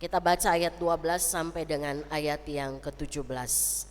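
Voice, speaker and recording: A woman's voice: low voice, steady tone, normal speed, a little hiss or hum. Nasal, congested woman pastor in a church. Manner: chatty with the congregation, tags lines with "ya" and "gitu kan". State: nasal and congested from losing her voice. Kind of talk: church service prayer and sermon. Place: church sanctuary. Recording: long church hall reverb.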